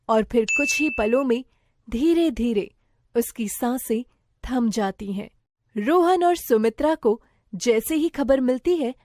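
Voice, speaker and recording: A woman's voice: on the high side, darkish tone, dry recording, studio quality. A woman reading a scripted moral story as its narrator.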